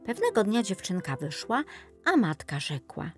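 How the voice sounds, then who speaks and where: A woman narrating a scripted children's fairy tale in an expressive, measured storytelling manner. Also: mid-range voice, soft background music. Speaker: audiobook narrator.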